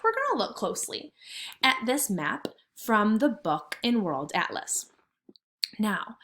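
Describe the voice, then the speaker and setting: A woman's voice: mid-range voice, fairly bright tone. A woman kindergarten teacher leading a read-aloud lesson, speaking in simple words and putting questions to her young pupils.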